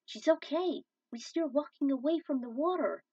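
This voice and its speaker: Woman as an urgent, high-voiced young hero. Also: moderate pace, warm tone, no room sound. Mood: relieved. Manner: urgent, emphatic delivery. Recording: dull, distant microphone.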